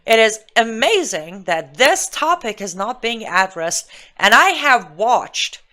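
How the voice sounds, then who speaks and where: Forceful Iranian-accented woman commentator. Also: a little high, big pitch swings, slow pace. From Iran. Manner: emphatic, indignant, rhetorical questioning. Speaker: political commentator and show host. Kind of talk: live political commentary monologue.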